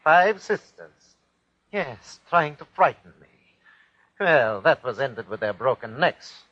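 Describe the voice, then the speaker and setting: An actor playing a cruel, rattled Nazi army captain, formal and clipped, in a 1940s radio horror style. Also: male voice, medium pitch, animated, slow, fairly bright tone. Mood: harsh, contemptuous. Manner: clipped, formal report-giving that breaks into barked orders. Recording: dull, lo-fi vintage radio recording.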